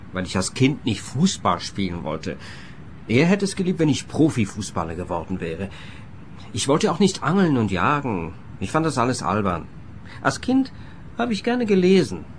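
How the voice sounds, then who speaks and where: A man's voice: medium pitch, huge pitch swings, normal speed, a little hiss or hum. Aggrieved man with swooping intonation. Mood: aggrieved, stubborn. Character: bitter, stubborn grown son living contentedly in a caravan. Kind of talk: radio play acting.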